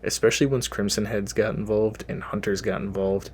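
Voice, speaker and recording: A young man hosting his own gaming channel, audibly sick and worn out, with a sniffly, slightly lower voice. He rambles casually and pokes fun at himself, trailing off with fillers as he goes. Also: steady tone, brisk, a little hiss or hum. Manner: rambling, self-deprecating, frequent fillers. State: tired. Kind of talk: casual gaming list commentary.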